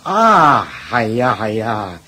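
An actor playing an eager, agreeable travelling monk in a Cantonese radio drama, chatty and exclamatory, with a husky voice. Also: male voice, medium pitch, very animated, slow pace, pushed and bright, exaggerated voice. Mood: cheerful, observant. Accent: Cantonese, Hong Kong.

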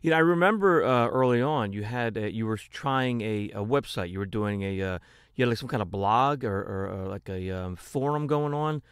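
A man's voice: fairly low pitch, animated, normal speed, clean tone, dry recording, clean studio sound. A man hosting a podcast on a video call, asking questions in a rambling, jokey way with many restarts. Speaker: podcast host. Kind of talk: casual interview chat on a video call. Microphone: desk microphone on a video call.

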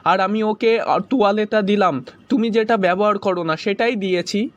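High-pitched hapless cartoon guy, acted by a man. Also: moderate pace, no echo, close to an everyday voice. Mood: explaining, defensive. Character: hapless male cartoon friend and husband telling tall tales. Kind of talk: cartoon joke skit dialogue. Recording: dull lo-fi cartoon audio.

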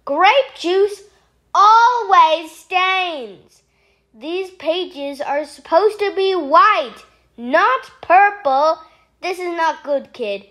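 A woman's voice: very high-pitched, lively intonation, drawn-out words, pushed and bright, exaggerated voice. A woman voicing a fussy, tidy frog who is dramatic and easily horrified, in a theatrical children's storybook read-aloud. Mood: exasperated. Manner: theatrical, exclaiming.

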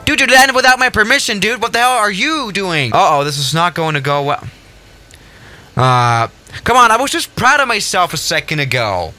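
A young man hosts a gaming video with casual, joking commentary. His voice is fairly high and very bright, swinging widely in pitch as he plays up sarcasm and mock exasperation. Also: expressive, normal speed, faint music behind. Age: young adult. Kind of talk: casual, jokey gameplay commentary.

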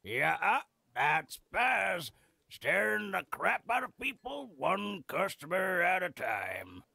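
A scruffy old video game veteran with a very creaky, raspy voice. He is an irreverent, boozy freeloader who drags his words slowly and theatrically, swinging widely in pitch. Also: very animated, bright, forceful tone, no room sound, full character voice. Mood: wry. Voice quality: gravelly, raspy. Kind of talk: video game character acting.

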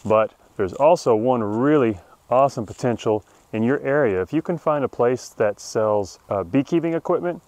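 A man's voice: mid-range voice, lively intonation, normal speed, darkish tone, no echo. A middle-aged man explaining beekeeping chores outdoors in a wooded yard, talking plainly and practically, recorded by a camera at medium distance. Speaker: beekeeping channel host. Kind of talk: outdoor how-to demonstration.

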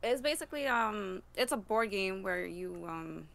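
Bright, gravelly New York woman. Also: medium pitch, expressive, unhurried. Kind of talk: casual chat.

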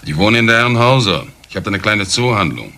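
An actor playing a scornful, indignant older uncle in a German crime radio play, with an emphatic way of talking. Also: male voice, fairly low pitch, very animated, brisk, bright, forceful tone, heavily acted. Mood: guarded, resentful. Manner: emphatic, indignant rhetorical questions.

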